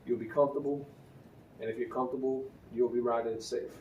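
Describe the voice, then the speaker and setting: A man's voice: mid-range voice, fairly even intonation, brisk, distant mic, dull audio, a little hiss or hum. A man giving a casual, conversational gear review as a motorcycle vlogger, with easy fillers and restarts. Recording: roomy, from a bit off the mic, faint ambience.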